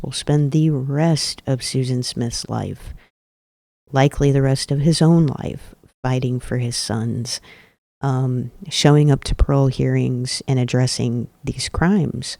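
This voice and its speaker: A man hosting an advocacy podcast, speaking earnestly and emphatically with deliberate repetition, his voice a little off today. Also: medium pitch, steady tone, vocal fry, warm tone, no echo. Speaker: podcast host. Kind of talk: advocacy commentary.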